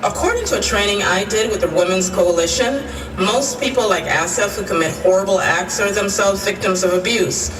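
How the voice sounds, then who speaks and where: A woman's voice: medium pitch, a little fast, natural voice. A woman playing a patient, thoughtful schoolteacher in a radio drama. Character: female schoolteacher, patient and thoughtful, leading class discussion. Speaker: teacher. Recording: echoey hall, distant, noisy.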